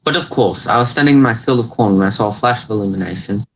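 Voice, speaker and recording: A man's voice: on the low side, fast talker, gravelly. A man playing a bumbling, stubborn poor farmer in a mock radio play, hesitant and wavering between formal and folksy wording. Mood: flustered.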